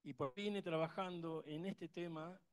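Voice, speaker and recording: A man's voice: fairly high pitch, fairly even intonation, moderate pace. A man with an Argentine accent, speaking up from the audience at a conference, emphatic and rambling with many restarts. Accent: Argentine Spanish. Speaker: conference attendee asking a question. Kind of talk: impassioned audience remark.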